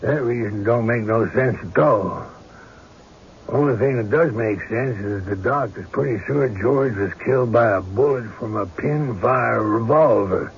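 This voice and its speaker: An actor playing a weary, folksy small-town sheriff in an old-time radio drama, plain-spoken and reluctant. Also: male voice, medium pitch, unhurried, close to an everyday voice. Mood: skeptical, deliberate. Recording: dull, lightly noisy radio recording.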